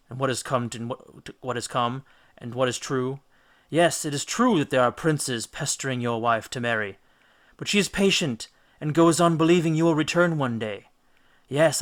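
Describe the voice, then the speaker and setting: A man voicing an elderly ghostly oracle, stern and all-knowing, making measured, ominous pronouncements in a storybook. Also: mid-range voice, fairly bright tone, lightly acted.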